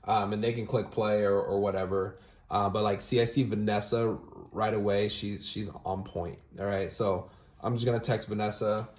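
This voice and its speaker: A man's voice: on the low side, steady tone, normal speed, a little mellow. A young man recruiting for a sales team, walking viewers through callbacks in a casual, upbeat way full of "all right?" and fillers. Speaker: recruiting manager demonstrating callbacks. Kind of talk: casual screen-share walkthrough, then phone interview. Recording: roomy echo.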